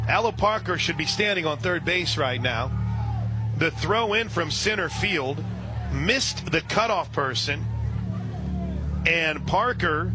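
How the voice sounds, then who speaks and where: A man calling a softball game live on radio, steady between pitches and surging loud and high on big plays. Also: poor audio. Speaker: radio play-by-play announcer.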